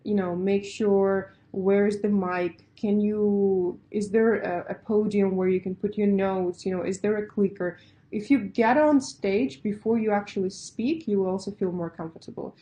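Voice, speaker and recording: Woman, Russian native, pitch coach; mellow tone, emphatic and direct. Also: steady tone, unhurried. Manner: emphatic, direct, laughs lightly, stacks repeated phrases. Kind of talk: coaching talk to startups.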